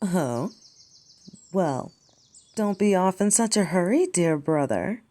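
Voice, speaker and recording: A woman's voice: fairly low pitch, animated, slow, mellow. A woman playing a haughty, mocking dragon princess, a destructive little sister, in an ASMR audio drama. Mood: mock innocent. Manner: sarcastic, drawling, taunting.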